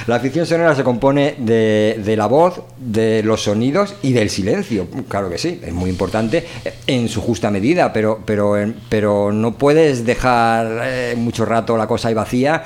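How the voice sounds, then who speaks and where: A man's voice: mid-range voice, moderate pace, darkish tone, a little hiss or hum. A man hosting a radio show, talking informally and colloquially, often checking in with short tag questions. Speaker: radio show host and voice performer. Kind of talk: informal spoken radio talk.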